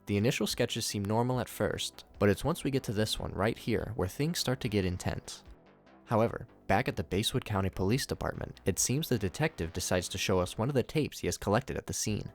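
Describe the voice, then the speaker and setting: Male narrator, lower register, scripted review commentary, light music bed underneath. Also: lively intonation, a little fast. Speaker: YouTube narrator reviewing horror series.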